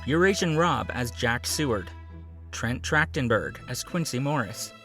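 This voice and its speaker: A male announcer reads a clear, scripted promotional outro with a practiced delivery. Faint music plays under his voice. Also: medium pitch, expressive, unhurried, a bit bright. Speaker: podcast announcer.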